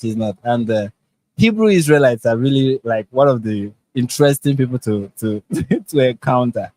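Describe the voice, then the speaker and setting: Nigerian man, host, deadpan sarcasm, dry close sound, online call. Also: mid-range voice, normal speed, no echo. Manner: deadpan sarcastic, repeats phrases. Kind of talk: casual live-stream chat.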